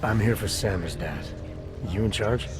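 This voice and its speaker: An actor playing a controlled, coolly probing male agent in a video game, with clipped, deadpan questioning. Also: lowish voice, moderate pace, crowd noise, lightly acted. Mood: cool, businesslike.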